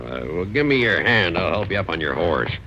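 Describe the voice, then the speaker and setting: An American actor playing a laconic, good-humored country rancher with a creaky voice in an old radio drama. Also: male voice, sits low, very animated, brisk. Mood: dry. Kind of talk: old radio western-tinged drama acting. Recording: dull, noisy old radio recording.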